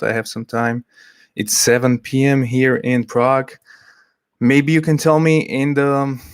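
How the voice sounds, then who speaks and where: A man hosting a casual livestream, chatty and a little hesitant as he reads out messages from the chat. His voice sits at an ordinary middle pitch. The sound is dry and close, with no room echo. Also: clean tone. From Slovakia. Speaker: livestream host.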